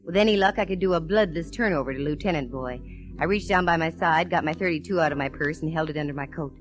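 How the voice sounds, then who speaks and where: An American actress playing a sharp, plucky female private eye in a 1940s radio detective drama. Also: sits low, fast, natural voice. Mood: cool, confident. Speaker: lead actress and narrator. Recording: vintage radio broadcast with music.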